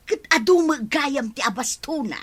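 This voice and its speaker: A woman's voice: sits high, lively intonation, normal speed, fairly bright tone. A woman acting a cheeky freeloading neighbour in a comedy radio drama, giggly and drawling, with an Ilocano accent. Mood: chummy, nosy.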